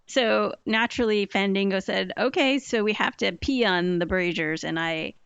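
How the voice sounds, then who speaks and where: A woman player chatting casually about a tabletop game, giggly and animated as she tells the story. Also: mid-range voice, normal speed, creaky, smooth, dry recording. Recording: dull lo-fi audio.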